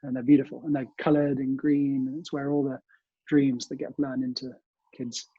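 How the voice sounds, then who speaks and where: A man's voice: medium pitch, little pitch movement, moderate pace, husky, dry recording, dull audio. A young British man speaking politely as an interview guest, telling family memories in a conversational way. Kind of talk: conversational interview answers, reminiscing. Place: remote recording from home.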